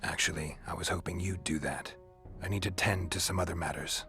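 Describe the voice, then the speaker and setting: An actor playing a stoic monster hunter in a video game, with an American accent and a gravelly, deadpan, terse delivery. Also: male voice, fairly quick, faint music behind, full character voice. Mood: matter-of-fact, wry. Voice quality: low gravelly growl, breathy at phrase ends. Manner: deadpan, terse, dryly sardonic. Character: gruff, stoic male monster hunter for hire, dry and blunt.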